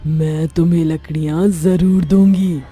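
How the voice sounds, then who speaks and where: A woman voicing a menacing witch who slowly taunts her prey in an animated horror story. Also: low voice, slightly rough, soft background music. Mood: menacing glee. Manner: slow, drawn-out, taunting.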